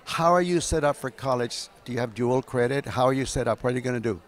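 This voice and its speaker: A man hosting a conference session, switching between Spanish and English and putting brisk, direct questions to students. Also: medium pitch. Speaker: event host and interviewer. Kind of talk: bilingual event announcements and interviewing. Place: conference hall.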